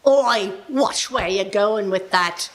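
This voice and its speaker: A woman's voice: mid-range voice, slow pace, natural voice. A woman playing a flustered female shopper in the streets of London in a live radio play. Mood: irritated.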